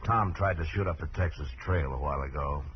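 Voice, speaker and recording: Frontier marshal, bass voice, American, calm and fair, radio drama, noisy old recording. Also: male voice, fairly quick, highs cut off. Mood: grave, measured. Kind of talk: 1950s radio western acting.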